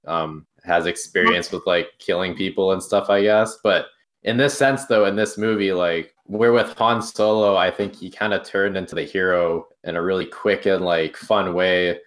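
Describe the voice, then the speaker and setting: A young man co-hosting a podcast, talking casually about a film in halting phrases full of likes and restarts. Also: low voice, fairly even intonation, fairly bright tone. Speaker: podcast co-host.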